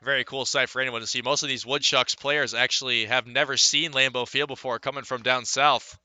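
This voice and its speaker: A man's voice: medium pitch, fairly even intonation, a little fast, sharp tone, no room sound. A man calling a baseball game as a live radio play-by-play announcer.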